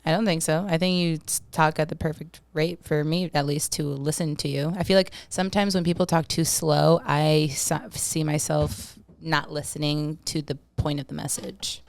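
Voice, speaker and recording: A young woman from Minnesota with a Minnesotan accent, chatting as a podcast guest, full of fillers and quick laughs. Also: low-pitched, flat delivery, vocal fry. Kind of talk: casual podcast chat.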